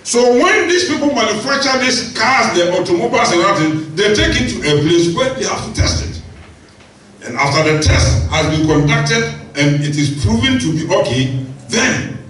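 A man's voice: high-pitched, fairly bright tone. A middle-aged man preaching a sermon into a handheld microphone in a large church hall, emphatic, repeating key phrases and asking the congregation questions. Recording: very echoey church hall, mic at a distance.

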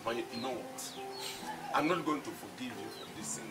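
A man's voice: mid-range voice, normal speed, far from the mic, over music, lightly acted. An actor playing a man who has lost everything, speaking in anguished, repetitive lines. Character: ruined man grieving his losses. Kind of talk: film drama acting.

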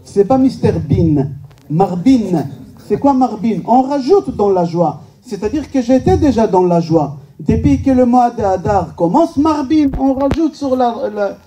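A man's voice: very high voice, animated, measured pace, mellow, far from the mic, dull audio. A male rabbi, a native Hebrew speaker, giving an informal lecture with rhetorical questions, emphatic repetition and joking asides. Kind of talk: informal religious lecture to a live audience. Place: medium-sized indoor synagogue function room.

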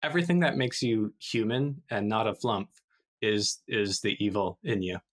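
A man's voice: fairly low pitch, moderate pace. A man talking casually as a panelist on a podcast. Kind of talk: casual chat.

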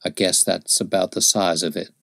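A man voicing a gaunt, dying frontiersman who speaks gently in rustic dialect, with a low voice. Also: little pitch movement, normal speed, creaky, dry recording. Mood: gentle.

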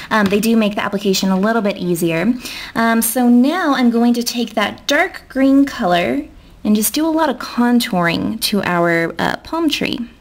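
A young American woman giving a casual, chatty step-by-step tutorial in an informal way. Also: on the high side. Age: young adult. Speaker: nail art tutorial host.

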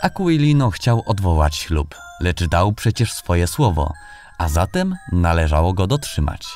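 A man reading a scripted fairy tale as an audiobook narrator, in an expressive storytelling manner. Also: sits low. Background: background music.

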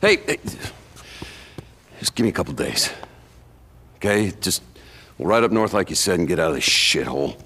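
An American actor playing a stubborn, insistent injured biker buddy in a video game. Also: male voice, sits low, big pitch swings, normal speed, pauses often, bright, forceful tone, slightly rough, full character voice. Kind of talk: naturalistic cinematic game acting.

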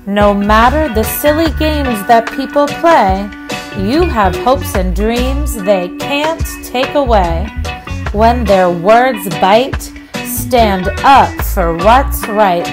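A woman music teacher reading a rhyming children's picture book aloud in a measured, deliberate way. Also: fairly high pitch, animated.

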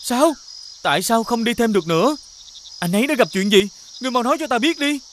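An actor voicing a kind, naive young prince in an animated fairy tale, earnest and innocent. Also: mid-range voice, fast talker, slight background noise, close to an everyday voice. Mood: worried, urgent.

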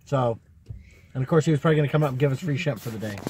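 A man's voice: mid-range voice, racing, a little mellow, slight background noise. A middle-aged man chatting casually as a vlog co-host from the seat of a parked vehicle. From Texas. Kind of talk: casual chat.

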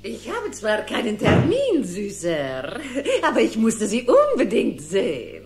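A woman's voice: mid-range voice, wildly swooping pitch, measured pace, darkish tone, soft background music. A woman playing a flirtatious sorceress posing as a beauty in a cartoon radio play.

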